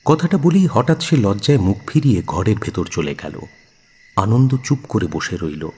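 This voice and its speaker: A man's voice: fairly low pitch, expressive, normal speed, darkish tone, husky. A man narrating a scripted audio story expressively, in the role of narrator.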